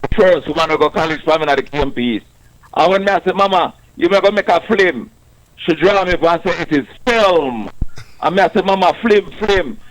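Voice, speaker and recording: Slightly high-voiced Jamaican male caller. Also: brisk, poor audio. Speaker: radio caller.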